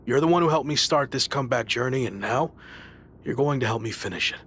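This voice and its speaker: Man acting determined comeback wrestler, video game cutscene, earnest, measured, mid pitch, faint ambient noise. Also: lively intonation, a bit bright, close to an everyday voice. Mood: friendly, sincere.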